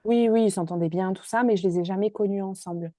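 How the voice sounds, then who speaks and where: A woman's voice: medium pitch, quick pace, warm tone, dry recording, clean studio sound. A woman in her twenties from Marseille, talking hesitantly with many fillers as a podcast guest. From Marseille, France. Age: 20s. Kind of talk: casual interview chat.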